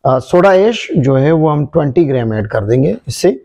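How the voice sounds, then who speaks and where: A man explaining a formulation step by step, unhurried and hesitant, in an indoor workshop. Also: on the high side, animated, darkish tone. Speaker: chemistry channel presenter. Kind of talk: hands-on formulation demonstration.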